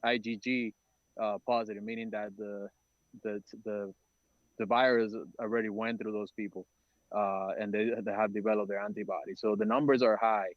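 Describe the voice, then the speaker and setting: Halting low-voiced man, flat delivery. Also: no room sound, muffled recording. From Dominican Republic. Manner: halting, many "uh" fillers and restarts. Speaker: nonprofit testing organizer. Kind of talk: briefing a council meeting.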